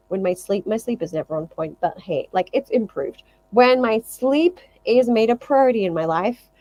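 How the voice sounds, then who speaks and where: A woman's voice: mid-range voice, darkish tone, dull audio. A woman talking casually to viewers as a fitness vlogger, explaining things emphatically and often repeating phrases in lists.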